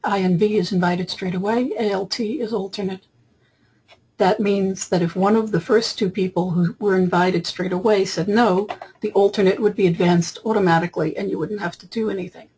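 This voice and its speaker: A woman software trainer narrating a screen tutorial in an explanatory, step-by-step way, with a husky voice. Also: mid-range voice, fairly even intonation, normal speed, a little hiss or hum, rough recording. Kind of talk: screen-capture tutorial narration, semi-improvised. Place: voice-over for screen recording.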